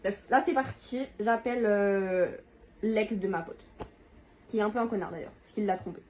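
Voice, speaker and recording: A woman's voice: medium pitch, moderate pace, darkish tone, a little hiss or hum. A teenage girl chatting casually and slangily as a video host, exclamatory and easily exasperated. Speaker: video host making prank calls. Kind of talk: casual slangy vlog chat.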